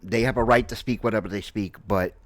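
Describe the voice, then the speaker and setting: A man in his late forties giving casual, unscripted opinion commentary on a game, halting but emphatic, repeating phrases for stress. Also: lowish voice, no room sound. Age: late 40s. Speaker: gaming video host and streamer.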